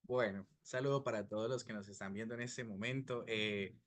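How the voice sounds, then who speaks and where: A man's voice: on the low side, steady tone, a little fast, a crowd in the background. A man, a guest author, greeting the audience courteously. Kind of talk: casual greeting.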